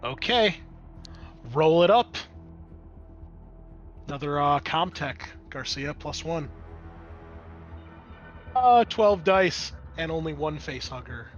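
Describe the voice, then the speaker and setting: A man's voice: on the high side, lively intonation, slow, long pauses, muffled recording, soft background music. A man working as game master, narrating a tabletop roleplaying game in a casual, off-the-cuff way.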